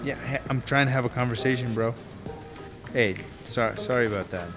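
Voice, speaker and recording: An actor playing a laid-back slacker screenwriter in telesales, rambling and slangy in a radio drama. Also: male voice, medium pitch, moderate pace, close to an everyday voice. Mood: casual, rambling. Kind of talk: naturalistic radio drama acting. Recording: music under the dialogue.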